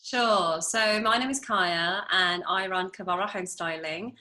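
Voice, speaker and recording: Bright-voiced chatty woman. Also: fairly low pitch. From France. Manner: chatty, laughs often, quick asides. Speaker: interior designer, guest. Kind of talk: casual interview storytelling.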